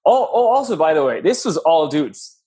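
A man's voice: a little high, very animated, dark tone, breathy edge. A man from Pakistan, a podcast guest, talking about his life in a friendly, reflective way over a video call. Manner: friendly, reflective, drawn-out phrasing. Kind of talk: casual podcast interview.

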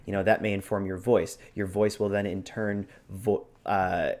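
A man with a slightly low voice explains performance technique as a co-host. His delivery is informal and conversational, like a teacher chatting with peers. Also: level tone, normal speed, a little mellow. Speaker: co-host with acting background. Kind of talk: conversational instructional chat.

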